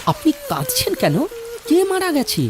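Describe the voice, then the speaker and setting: A man's voice: extremely high pitch, wildly swooping pitch, normal speed, a crowd in the background, heavily acted. A man playing an anxious, kindly young villager talking fretfully to himself in a horror cartoon. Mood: concerned. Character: anxious kindly young married villager travelling home alone.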